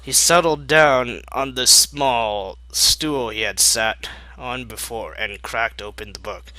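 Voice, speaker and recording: A man's voice: medium pitch, slow pace, sharp tone, no room sound, low-quality recording. A man narrating fan fiction as an amateur reader, breaking off for wry asides. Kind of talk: animated amateur story narration.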